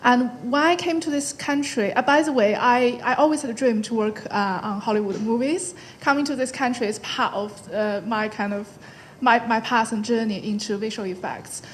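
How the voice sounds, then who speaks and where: A woman from China, answering spontaneously as a panel guest and speaking thoughtfully in short phrases with frequent pauses. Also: a little high, slight background noise. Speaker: panel guest, tech founder.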